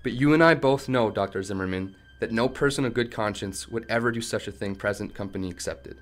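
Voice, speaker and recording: Guy playing captive tech boss in a radio drama, lowish voice, defiant, principled, argues back firmly. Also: a little fast, natural voice. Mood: measured, moralizing. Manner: firm, argumentative, articulate. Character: defiant, principled male tech company chief held captive. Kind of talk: radio play acting.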